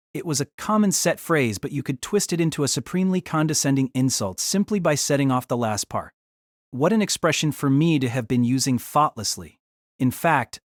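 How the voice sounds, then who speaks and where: A male actor plays an exasperated young man with a medium-pitched, very lively voice. He narrates his own story in wry, wordy asides and acted dialogue, recorded dry and close. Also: dry recording, lightly acted.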